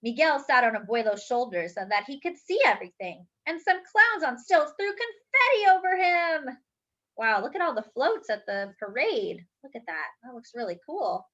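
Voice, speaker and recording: Animated woman reading a children's storybook. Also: sits high, normal speed. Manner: animated, acts out the dialogue. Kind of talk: expressive picture-book read-aloud with chatty asides. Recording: dull lo-fi recording.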